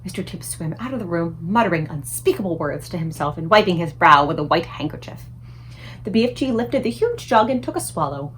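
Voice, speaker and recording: A woman reading a storybook aloud as narrator, in an expressive style suited to children. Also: on the low side, a little fast, slight background noise, rough recording. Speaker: book reader.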